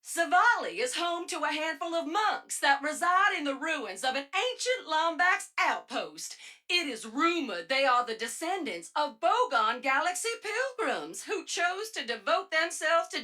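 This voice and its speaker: Perky little robot guide for a game, super high and swoopy, playful and wry, reading out logs. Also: female voice, bright, forceful tone, full character voice. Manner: theatrical, playful, exaggerated delivery. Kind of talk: scripted narration of in-game audio logs.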